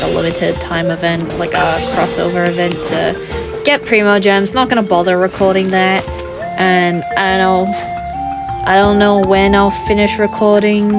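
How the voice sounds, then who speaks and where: Sick woman mumbling through a game. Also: lowish voice. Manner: hesitant, with many ums and trailing restarts. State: sick, sore throat. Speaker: gaming channel host. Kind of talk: casual let's-play commentary. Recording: voice over game music.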